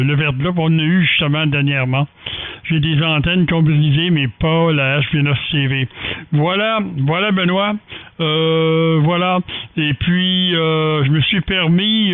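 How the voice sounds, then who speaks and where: A man with a Quebec French accent and a fairly high voice talks technical matters as a ham radio operator. He speaks haltingly, doubling back on words, in dry, phone-like narrow audio. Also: no room sound. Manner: hesitant, halting with repeated words. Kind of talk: technical chat over amateur radio.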